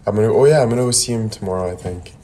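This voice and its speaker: A man's voice: fairly low pitch, racing, mellow. A laid-back young man in casual, slangy livestream chat, speaking into a phone indoors. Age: young adult. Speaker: livestream host. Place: indoor room by a window. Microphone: phone at arm's length. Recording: phone livestream with background noise.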